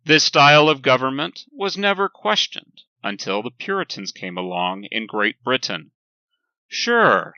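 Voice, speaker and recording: A man reading a scripted, lecture-like sermon in a measured, emphatic way with deliberate pauses. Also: medium pitch, sharp tone, no echo, highs cut off. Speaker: reader of a written sermon.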